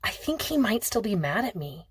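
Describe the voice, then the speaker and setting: A woman's voice: medium pitch, sing-song, moderate pace, slightly rough, no echo. Woman voicing a smug, breezy rich teenage boy. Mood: teasing charm. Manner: breezy, self-assured, wry. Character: smug, teasing rich sixteen-year-old boy.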